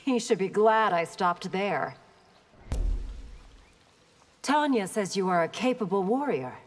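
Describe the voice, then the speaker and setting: Imperious game commander, slow, expressive pitch, haughty, long reverb. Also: female voice. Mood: haughty, cool. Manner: imperious, deliberate. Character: haughty, battle-hardened female army commander. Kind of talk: video game character acting.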